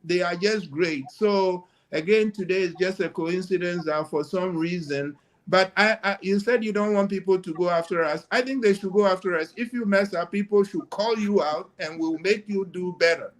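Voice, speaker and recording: A man's voice: sits high, moderate pace, hardly any pauses. An older man from Ghana, speaking emphatically as a panelist on a video call. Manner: emphatic, insistent. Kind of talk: casual panel discussion.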